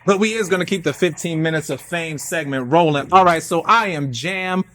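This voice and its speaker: A man's voice: on the high side, moderate pace, slight background noise. A young American man, a theater artist, talking in a casual, freewheeling, slangy way straight to his audience. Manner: slangy, expressive, talks to the audience. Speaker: theater artist and guest speaker.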